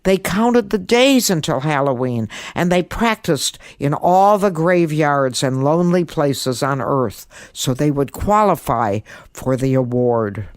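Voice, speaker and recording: A woman in her eighties speaks in a deliberate, emphatic manner, as if instructing her listeners. Her voice is captured close and dry, with no room around it. She presents like a seasoned podcast host. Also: deep voice, animated, darkish tone, no echo. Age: 80s. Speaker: guest podcast host, comedian and author. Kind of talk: podcast monologue with writing tips and story reading.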